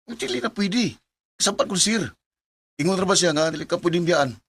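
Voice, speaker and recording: A man's voice: high-pitched, moderate pace, a bit bright, slight background noise. An actor playing a reluctant, grumbling male college student in a Filipino radio drama, with muttering, hesitant delivery. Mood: flustered.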